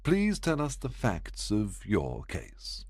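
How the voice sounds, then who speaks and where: Confident clever detective, voiced by a man; slow, lively explaining tone, dry close sound. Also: fairly low pitch, dry recording, voice pushed up, heavily acted.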